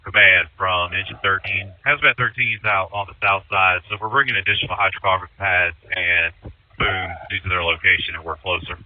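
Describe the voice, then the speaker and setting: A man's voice: low-pitched, steady tone, fairly quick, no room sound. A man speaking as a fire company officer, calling command in emergency radio traffic. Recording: tinny, dry two-way radio transmission.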